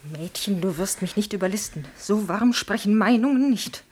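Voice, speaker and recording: A woman's voice: mid-range voice, expressive, normal speed, darkish tone, close to an everyday voice. An actress playing a proud, jealous noblewoman in a classical radio drama, speaking in a theatrical, imperious manner. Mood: suspicious, indignant. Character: proud, jealous noblewoman, a passionate prince's mistress.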